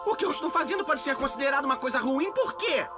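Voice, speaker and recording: A woman with a Brazilian accent playing a cocky, mischievous eleven-year-old boy in an animated cartoon dub. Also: high-pitched, fast talker. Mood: scheming. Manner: cocky, excitable. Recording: loud music behind the voice.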